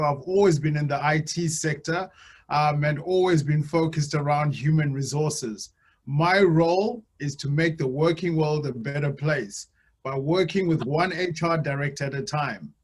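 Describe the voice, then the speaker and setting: A man from Zimbabwe speaking as the guest on a webinar, with an upbeat, energetic manner over a video call. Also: on the high side, normal speed. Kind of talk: webinar presentation.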